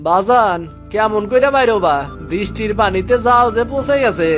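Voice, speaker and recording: A man's voice: sits high, lively intonation, normal speed, over music, voice pushed up. A man voicing an eager, dutiful young village son in a cartoon, with an earnest manner. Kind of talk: animated moral story acting.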